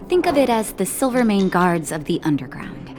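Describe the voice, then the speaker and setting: An actress voicing a calm, caring woman doctor in a video game, speaking in a gentle, reassuring way. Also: mid-range voice, normal speed, close to an everyday voice. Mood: wry, composed. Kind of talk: scripted game dialogue. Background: music.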